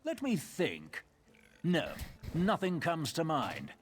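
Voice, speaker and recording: Haughty dark elf noble. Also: male voice, on the high side, huge pitch swings, slow pace, background noise, heavily acted. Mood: dismissive. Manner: haughty, sneering. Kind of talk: video game character acting.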